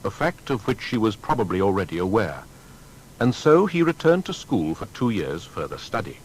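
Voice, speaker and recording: A man narrating a scripted biography in a measured, formal and dramatic way. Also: sing-song, slightly rough, poor audio. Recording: faint background rumble and noise.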